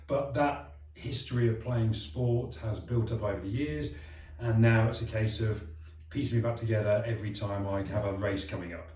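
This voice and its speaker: British man in his forties, mellow. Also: fairly low pitch, moderate pace. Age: 40s. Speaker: patient, athlete guest. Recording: roomy, slightly distant.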